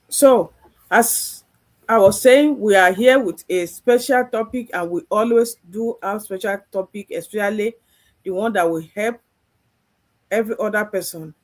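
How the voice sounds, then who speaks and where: A woman's voice: medium pitch, rough recording. A woman from Nigeria hosting a live online stream, speaking earnestly and often repeating herself. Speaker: host.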